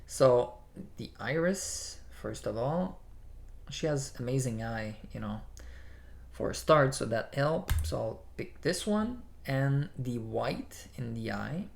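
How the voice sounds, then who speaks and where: A native French speaker explains photo editing in English, his French accent plain throughout. He talks at a slow, deliberate pace, guiding the viewer step by step and leaning on "you know" as he goes. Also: mid-range voice, lively intonation, gravelly. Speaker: photography tutorial host. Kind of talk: screen-recorded software tutorial.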